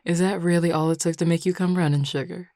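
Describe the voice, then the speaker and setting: A woman's voice: on the low side, steady tone, fast, warm tone, natural voice. A woman acting a flirtatious, teasing Southern werewolf in an audio roleplay, with a Southern US accent and a colloquial drawl. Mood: playful, flirty.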